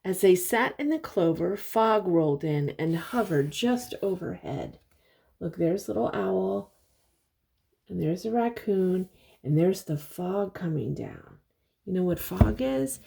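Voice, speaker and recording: An older woman reading a picture book aloud to young children, pausing to explain things and ask simple questions. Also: lowish voice, creaky, husky. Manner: expressive, simple explanations and questions for little listeners.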